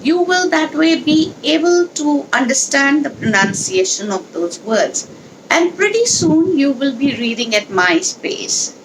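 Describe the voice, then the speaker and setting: A woman leading a children's read-along, speaking in an instructional, deliberate, explanatory way with pauses. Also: sits high, big pitch swings, a little hiss or hum, poor audio. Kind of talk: instructional talk and storybook read-aloud.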